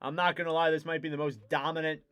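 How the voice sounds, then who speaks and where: A young man doing live play-by-play for a hockey stream, excitable and rising to shouts on big plays, his voice wearing out. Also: on the high side, quick pace, smooth. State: losing his voice. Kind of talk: play-by-play commentary.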